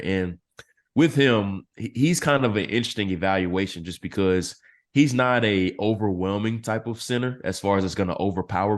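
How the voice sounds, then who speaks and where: A man analyzing football draft picks as a podcast guest, his voice a little hoarse and clearing his throat now and then. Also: deep voice. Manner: steady analytical explaining. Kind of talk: casual podcast interview.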